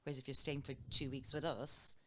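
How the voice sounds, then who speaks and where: A middle-aged woman, a hotel sales manager, calmly explaining her work as an interview guest, often listing examples. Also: rumbling low, brisk, a little hiss or hum. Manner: plain, steady explaining with listed examples.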